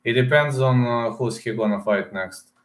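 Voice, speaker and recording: A man's voice: medium pitch, moderate pace. Serbian-accented man chatting on a livestream. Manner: talks straight to chat, repeats words, many fillers. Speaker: livestream host reading chat. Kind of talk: casual live-stream chat about fight picks.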